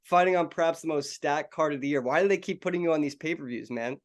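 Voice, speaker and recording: A man's voice: a little high, fast talker. A young adult man hosting an interview, friendly and casual, calling his guest "bro" and "man".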